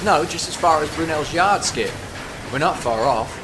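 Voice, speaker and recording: A man's voice: fairly high pitch, lively intonation, moderate pace, noisy background, lightly acted. A man in an audio play acting as an eager, deferential boatman, the skipper's mate, with colloquial speech.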